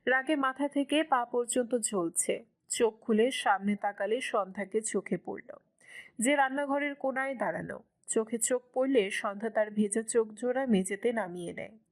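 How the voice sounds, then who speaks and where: A woman's voice: on the high side, moderate pace. A woman reading scripted narration as the storyteller of a dramatic romance story.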